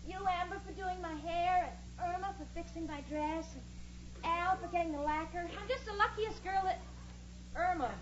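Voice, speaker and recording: A woman's voice: very high voice, moderate pace. An actress playing a sensible, sarcastic young woman, impatient and easily exasperated, in a 1940s radio comedy. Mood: grateful. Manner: dry jabs, exasperated urgency. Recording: muffled noisy old radio recording, studio audience.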